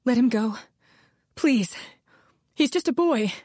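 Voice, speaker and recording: Woman doing a shaky scared mom voice, kinda high, dry sound. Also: sing-song, normal speed, a little mellow, no room sound. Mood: anxious. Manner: shaky and pleading, higher than the narration. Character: frightened young mother, an investigator.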